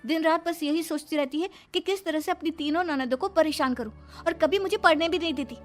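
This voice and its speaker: Woman voicing a high-pitched, wronged young sister. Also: a little fast, soft background music, lightly acted. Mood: defiant. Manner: pleading, protesting.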